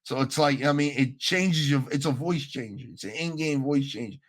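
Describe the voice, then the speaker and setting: A man's voice: medium pitch, normal speed. A man telling gaming stories on a casual podcast in slangy, profane talk, acting out the exchanges as he goes. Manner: slangy, profane, animated retelling with acted-out quotes. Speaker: podcast host.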